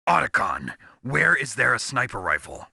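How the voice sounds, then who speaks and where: An actor voicing a gruff, hardened soldier in a video game, terse and cynical, with a gravelly rasp. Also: male voice, medium pitch, very animated, normal speed, bright, forceful tone, no echo, studio quality, heavily acted. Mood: urgent. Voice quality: gravelly, raspy.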